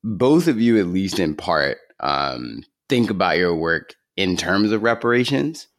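Deep-voiced male interviewer. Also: very animated, measured pace. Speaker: podcast host, interviewer. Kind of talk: conversational interview questions.

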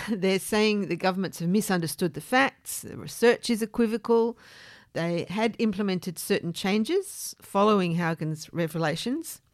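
A woman hosting a podcast, explaining things in a measured way with informal asides. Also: medium pitch, no echo, clean studio sound. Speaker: podcast host.

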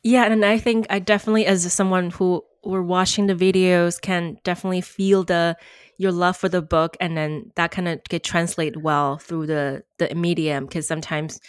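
A woman's voice: deep voice, no room sound, clean studio sound. A woman hosting a podcast interview, talking casually and searching for words with many fillers. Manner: soft-spoken, searching for words with frequent fillers. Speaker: podcast host.

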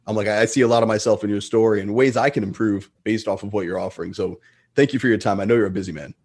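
Male podcast host, fairly low voice. Casual, informal, affirming conversational delivery. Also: fairly even intonation, very fast, darkish tone, breathy edge. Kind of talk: casual chat.

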